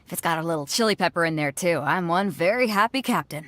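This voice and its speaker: A woman's voice: fairly low pitch, animated, fast talker, heavy background noise, lightly acted. A woman voicing a bold, laid-back sea captain in a video game, with a hearty, teasing manner. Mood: breezy.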